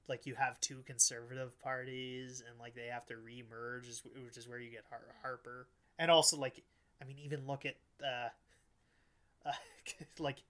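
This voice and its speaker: Male podcast host, mid-range voice, loose and halting delivery, thinks aloud in informal political chat. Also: medium pitch, a bit bright. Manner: rambling, halting, frequent "like", casual swearing. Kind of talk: casual political discussion.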